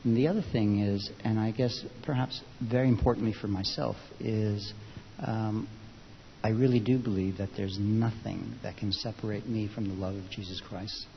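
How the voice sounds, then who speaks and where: A man speaking to a group in a thoughtful, unscripted way, with a measured, halting, reflective manner, recorded in a large reverberant room. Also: lowish voice, steady tone, gravelly. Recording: dull, hissy, echoey hall recording.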